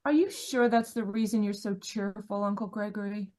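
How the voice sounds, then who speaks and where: An actress playing an earnest, anxious young niece in an old-style radio mystery, pleading in manner. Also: female voice, mid-range voice, moderate pace, a little mellow, natural voice. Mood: probing. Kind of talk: 1940s radio mystery acting. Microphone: video call.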